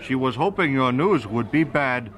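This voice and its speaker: A man playing an earnest, devout Chinese evangelist in a radio drama, speaking in formal, measured phrases. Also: medium pitch, animated, a bit bright, close to an everyday voice. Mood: earnest, troubled. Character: earnest Chinese male evangelist, devout but candidly fearful. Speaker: voice actor in an audio drama. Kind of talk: radio drama dialogue acting.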